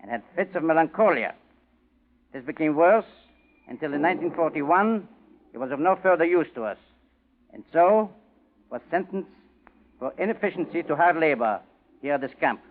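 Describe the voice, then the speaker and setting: An actor playing a cold, calculating Nazi prison commandant with a German accent, clipped and commanding, in a 1940s radio drama. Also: male voice, fairly high pitch, lively intonation, normal speed, long pauses, narrow, tinny audio, lightly acted. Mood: intent, calculating. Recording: thin, noisy 1940s radio recording.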